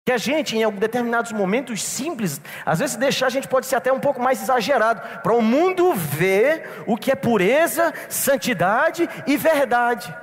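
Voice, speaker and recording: A man's voice: very high voice, animated, moderate pace, few pauses. A man preaching a sermon to a church congregation, telling a personal story and calling them brothers. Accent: non-local Brazilian. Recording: long reverb in a church hall.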